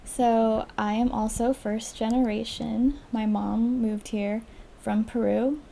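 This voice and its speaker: A young woman with a higher, flat voice and a lot of vocal fry, talking on a student podcast. Her tone is mellow and subdued, and she pauses often with ums and uhs. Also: slight background noise. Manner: hesitant, heavy on ums and uhs. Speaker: podcast panelist. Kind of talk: casual podcast discussion.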